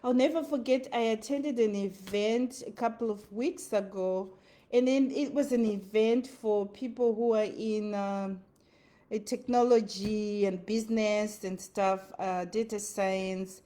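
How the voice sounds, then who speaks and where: A woman's voice: medium pitch, fairly even intonation, unhurried, dark tone. A woman with a Zimbabwean accent giving an earnest motivational talk, repeating her phrases for emphasis. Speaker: motivational speaker. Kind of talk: motivational monologue.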